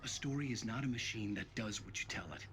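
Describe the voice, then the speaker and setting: An actor voicing a brooding, menacing man in a video game, delivering a deliberate, ominous monologue. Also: lowish voice, a bit bright, lightly acted. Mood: ominous, intent. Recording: faint noise and ambience behind.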